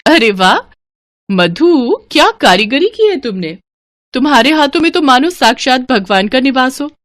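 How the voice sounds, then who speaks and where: A woman voicing a wealthy female showroom owner who speaks briskly and kindly. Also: high-pitched, very animated, fairly bright tone, no room sound, studio quality, voice pushed up. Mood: businesslike.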